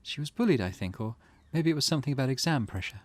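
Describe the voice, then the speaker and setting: Man reading as a weary middle-aged mother, lively pitch. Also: fast, dry recording, close to an everyday voice. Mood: shocked. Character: weary, grieving middle-aged mother, old-fashioned and anxious. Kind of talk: visual novel character read aloud.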